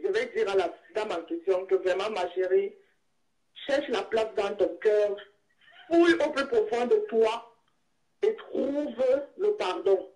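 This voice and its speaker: A woman with a mid-pitched voice phones in to a talk show. Her talk is casual and expressive, direct and emphatic. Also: a little slow, low-quality recording. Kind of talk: casual chat and advice.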